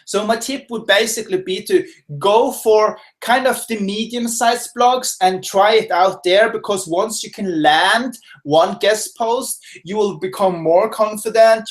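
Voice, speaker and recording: A man from Norway, a guest expert giving casual, filler-heavy answers in a webinar interview from his home office. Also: high-pitched. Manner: casual, chatty, lots of fillers and restarts.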